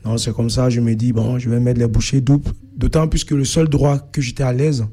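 Young man, soft mellow Cameroonian accent, hesitant. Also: medium pitch, little pitch movement, slightly rough. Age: young adult. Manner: hesitant, halting delivery with frequent filled pauses. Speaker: interview guest. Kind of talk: personal account in an interview.

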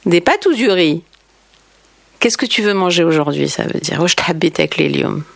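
A woman's voice: lowish voice, animated, moderate pace, gravelly, no room sound. Woman from Algeria, interview guest, unaccented French, reflective and hesitant, dry and close. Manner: explanatory, thoughtful, frequent hesitations. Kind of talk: conversational interview answers.

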